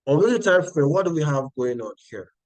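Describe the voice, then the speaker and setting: A man's voice: a little high, sing-song, brisk, darkish tone, no room sound, low-quality recording. A Nigerian-accented man hosting a live trading stream, talking viewers through charts and answering their chat. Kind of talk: live-stream market analysis.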